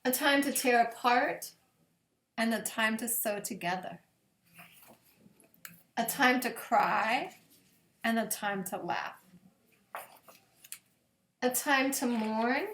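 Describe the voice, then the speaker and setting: Slow-paced woman reading in an echoey room. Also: a little high, fairly bright tone, slight background noise. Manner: measured, deliberate phrasing with long pauses. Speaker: pastor reading bedtime stories for children. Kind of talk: gentle read-aloud of scripture picture books.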